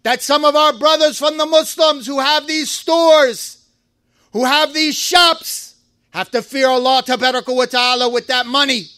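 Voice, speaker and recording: A man giving a Friday sermon in a mosque, preaching with passion, rising to shouts and reciting Arabic verses. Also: very high-pitched, steady tone, a little slow, no room sound.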